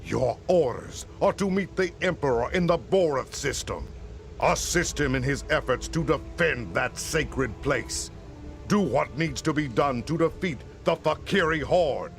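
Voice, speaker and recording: An actor playing a dutiful, stern alien warrior officer in a video game, delivering orders in a formal, martial way. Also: male voice, a little high, lively intonation, unhurried, vocal fry. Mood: martial, urgent. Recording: faint music behind the voice.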